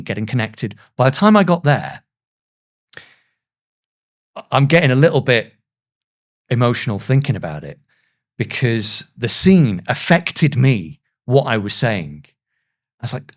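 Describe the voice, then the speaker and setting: A man with a mid-pitched voice talks in a reflective interview style. He comes across as earnest and self-deprecating, often pausing haltingly mid-thought before carrying on conversationally. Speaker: voice actor, podcast guest.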